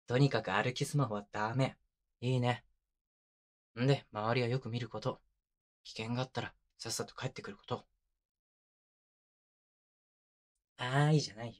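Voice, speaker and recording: A young boyfriend, played by a male voice actor, speaks up close in a mid-pitched voice. He is tender and doting, with a teasing, mock-scolding edge and casual masculine phrasing. It is intimate binaural roleplay audio made for headphones. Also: steady tone, brisk, natural voice. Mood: fond scolding. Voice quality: medium-low voice. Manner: casual, rough-edged masculine speech, soft laughs. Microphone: close binaural microphone.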